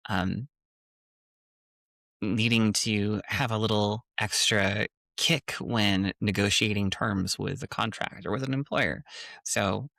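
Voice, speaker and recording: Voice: low voice, gravelly, fairly bright tone, dry recording. Co-host with a Minnesotan accent, earnest and encouraging, dry close sound. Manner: earnest, encouraging, hesitant fillers. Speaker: podcast co-host. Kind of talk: casual podcast chat.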